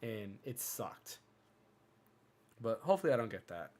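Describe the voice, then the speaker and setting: A man hosting a casual gaming podcast, chatty and rambling, a bit hoarse from a cold. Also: fairly low pitch, sing-song, measured pace, darkish tone. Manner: chatty, rambling, self-interrupting. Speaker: podcast host.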